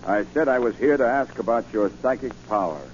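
An actor playing a blustering, skeptical police commissioner in 1940s radio acting style. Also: male voice, medium pitch, fairly quick, a little mellow, natural voice. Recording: muffled, faintly noisy 1940 radio recording.

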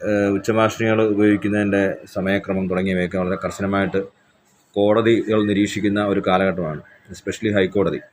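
A man with a very deep, flat voice speaks as a police station officer making a formal announcement. He is measured and official, pausing on hesitant fillers. A faint background hiss sits under his voice.

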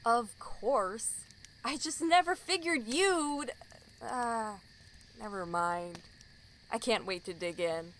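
A woman acting a slow-talking young adventuress. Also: on the high side, fairly bright tone, a little hiss or hum. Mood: curious, friendly. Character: friendly, curious young female adventuring companion. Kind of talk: audio drama acting.

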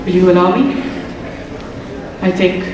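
A young man acting in a stage play as a kind, protective married man, speaking in a calm, measured way. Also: sits high, noisy background. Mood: calm, soothing. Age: young adult. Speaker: student actor in a stage play. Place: large theatre stage. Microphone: far camera in the hall. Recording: echoey hall from far off, loud music behind.

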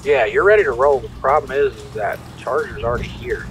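A man's voice: mid-range voice, a little fast. A man improvising police radio chat in game role-play, playing an officer on lookout. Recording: faint background noise.

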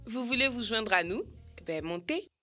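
A woman voicing a brisk, friendly monkey balloon pilot in an educational cartoon. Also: on the high side, animated, music in the background, close to an everyday voice.

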